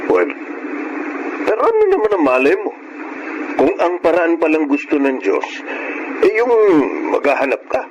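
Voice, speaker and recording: A persistent, teasing pal with an animated, fairly high voice in radio drama style. The sound is dull and noisy. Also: male voice, normal speed, pauses often. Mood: persuasive, teasing. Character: persistent, matchmaking buddy of a taxi driver. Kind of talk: Filipino radio drama acting.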